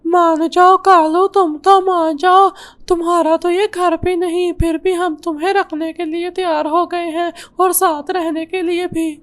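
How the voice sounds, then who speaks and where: Very high-pitched, plaintive little bird played by a woman. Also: little pitch movement, measured pace, hardly any pauses. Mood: pleading. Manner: plaintive, earnest. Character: small meek female bird, wronged and pleading. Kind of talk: Hindi cartoon voice acting.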